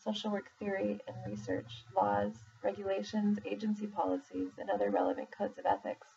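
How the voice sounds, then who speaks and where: A woman reading a formal document aloud in a measured, deliberate way, pausing between phrases. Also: medium pitch, completely flat delivery, vocal fry, warm tone. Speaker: reader, social worker in exam preparation. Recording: muffled, distant mic with faint background noise.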